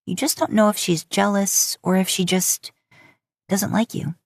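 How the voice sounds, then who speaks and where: A woman's voice: medium pitch, steady tone, measured pace, no echo, studio quality. A woman reading scripted fiction aloud as a podfic narrator.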